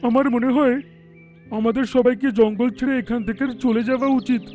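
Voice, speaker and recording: A man's voice: extremely high pitch, fairly even intonation, normal speed, a little mellow. A man voicing talking animals in a children's cartoon fable. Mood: worried. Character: animal characters of a children's fable: tiger king, elder elephant, young deer. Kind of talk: dubbed cartoon dialogue. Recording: background music under the voice.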